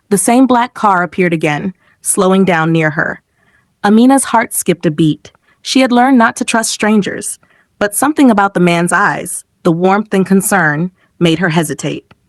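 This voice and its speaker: A woman's voice: mid-range voice, animated, moderate pace, no room sound. Female storyteller for scripted dramatic folktale narration, dry intimate recording.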